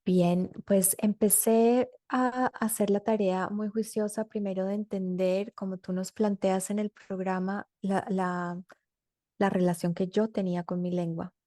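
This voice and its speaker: A woman's voice: medium pitch, fairly even intonation, a little slow, warm tone, no echo, studio quality. A Colombian woman guest in her forties, telling her story thoughtfully and searching for her words. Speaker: interview guest, mother. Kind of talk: conversational interview.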